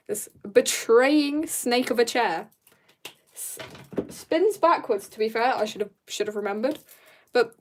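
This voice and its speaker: A young woman with a fairly high voice chats informally to viewers while she draws. Her talk is rambling and slangy, full of asides and giggly in manner. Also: a little hiss or hum. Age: teenager or young adult. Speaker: streamer drawing and chatting. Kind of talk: casual livestream chat.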